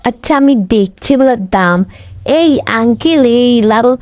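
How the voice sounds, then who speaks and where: A woman's voice: on the high side, expressive, normal speed, a little mellow, no room sound, lightly acted. A woman voicing a caring mother who coaxes and soothes her young daughter. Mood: surprised. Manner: coaxing, motherly.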